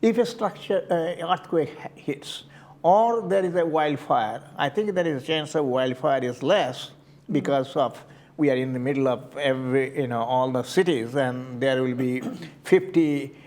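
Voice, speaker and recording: A man's voice: mid-range voice, unhurried, darkish tone. An older man with an Indian accent, answering interview questions about his community committee work, hesitant with many fillers and restarts. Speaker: community board member.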